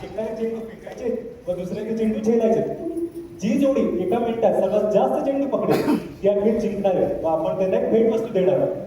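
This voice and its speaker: Game show host played by a man for stage comedy: polite, high-voiced, far from the mic in a reverberant hall. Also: brisk, warm tone, a little hiss or hum, natural voice. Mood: announcing. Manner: courteous, announcer-like. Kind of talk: stage play dialogue. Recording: echoey hall, distant microphone.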